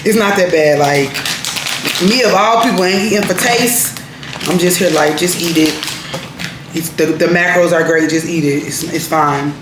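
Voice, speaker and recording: A woman's voice: fairly low pitch, normal speed, background noise. Chatty woman in an echoey room. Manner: chatty, laid-back, laughs easily. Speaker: vlogger. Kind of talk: casual vlog chat.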